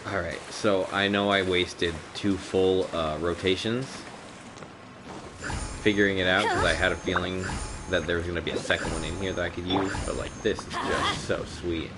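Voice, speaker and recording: Deep-voiced man streaming a game. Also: fairly even intonation, normal speed, heavy background noise. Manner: slangy, swearing. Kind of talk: casual live gameplay commentary. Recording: game music under the voice. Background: video game music.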